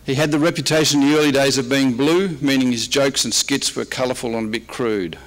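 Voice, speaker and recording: A man's voice: medium pitch, steady tone, a little fast, a little hiss or hum, rough recording. An Australian man presenting as a historian in an informal slide-show talk, casual and dryly humorous. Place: convention presentation room, speaking at a microphone.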